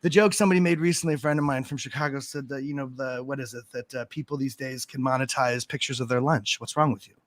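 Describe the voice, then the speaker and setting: A man's voice: medium pitch, steady tone, quick pace, few pauses. A man talking as a guest in a casual live-stream chat, rambling and self-mocking and laughing often, into a desk mic at home.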